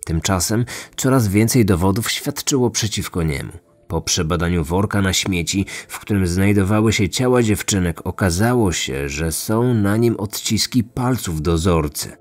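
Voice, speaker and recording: A man's voice: deep voice, moderate pace. A man hosting a true-crime podcast, reading scripted narration. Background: music.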